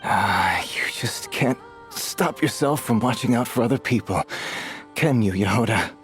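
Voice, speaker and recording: An actor playing a dying, destitute old thief in a video game, with a weak, breathy voice and a halting, resigned, wry manner. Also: male voice, medium pitch, expressive, a bit bright, faint music behind. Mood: weary, wry. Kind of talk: dramatic video game voice acting.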